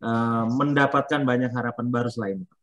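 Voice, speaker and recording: A man's voice: mid-range voice, fairly even intonation, brisk, a bit bright. A man hosting an online testimonial session over a video call, speaking politely and encouragingly with many fillers. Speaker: program mentor hosting testimonials.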